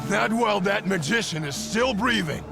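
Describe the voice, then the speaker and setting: A man's voice: high voice, animated, moderate pace, gravelly, over music, heavily acted. An actor playing a hostile, jeering man from a lynch mob in a video game. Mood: jeering, aggressive. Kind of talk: dramatic video game acting.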